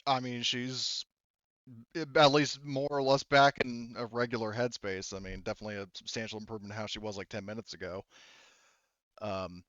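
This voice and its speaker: Smooth-voiced man running a tabletop game. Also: lowish voice, level tone, brisk, fairly bright tone, no echo, highs cut off. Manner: rambling, conversational. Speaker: game master. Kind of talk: casual improvised narration of a tabletop roleplaying game.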